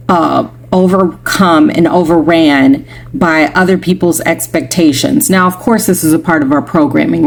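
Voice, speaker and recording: A woman with a deep voice giving a casual, chatty motivational talk to the camera, full of rhetorical questions and playful asides. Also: darkish tone, slight background noise. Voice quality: deep voice, groggy when tired. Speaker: spiritual reader.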